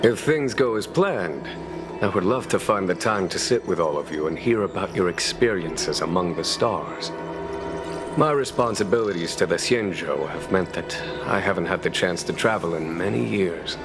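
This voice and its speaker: Casting: a composed, shrewd military commander for a game, deep voice, formal diplomatic phrasing, music underneath. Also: male voice. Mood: courteous, composed. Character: calm, courteous, shrewd male general commanding a starship's knights. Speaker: military leader. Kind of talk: scripted video game dialogue.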